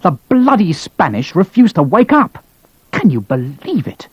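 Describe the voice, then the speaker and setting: A man's voice: a little high, sing-song, normal speed, no room sound, highs cut off. A man voicing a furious, exhausted British cavalry colonel who rants and curses. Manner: ranting, shouting, cursing.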